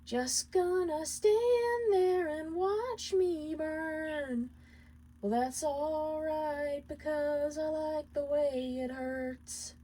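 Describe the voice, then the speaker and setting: Woman as a heartbroken imp, singing slowly. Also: sits high, dark tone, voice pushed up. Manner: slow, drawn-out singing.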